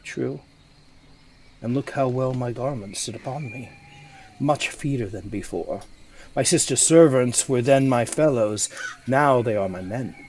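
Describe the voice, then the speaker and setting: A man's voice: medium pitch, darkish tone, a little hiss or hum, natural voice. A man playing a scheming, ambitious usurping nobleman in a Shakespearean audio drama, with an insinuating, rhetorical delivery. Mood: sly, tempting. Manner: insinuating, rhetorical, deliberate emphasis. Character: scheming, ambitious usurping nobleman tempting his companion to murder. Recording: remote recording with music underneath.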